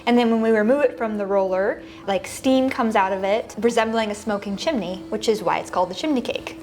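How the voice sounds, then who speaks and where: A woman's voice: medium pitch, fairly quick, a little mellow. A woman who co-owns a bakery, answering interview questions cheerfully with light laughs and fillers. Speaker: bakery co-owner, interview guest.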